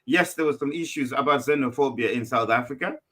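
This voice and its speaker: A man's voice: mid-range voice, fast talker, bright, forceful tone. A man, debating casually and insistently as a podcast co-host, repeating phrases for emphasis. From Malawi. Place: small room at home, on a video call. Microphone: video call with headphones.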